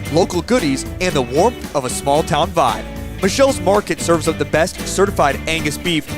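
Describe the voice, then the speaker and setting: A man reading a scripted promotional commercial as an announcer, with music behind him. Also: fairly high pitch. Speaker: advertisement announcer. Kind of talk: scripted local radio-style commercial. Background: clear music.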